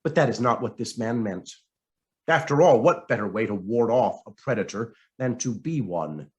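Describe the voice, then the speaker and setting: A man reading a written essay aloud as scripted narration, in a measured, dramatic way with deliberate pauses. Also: mid-range voice, lively intonation. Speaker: reader of a written article.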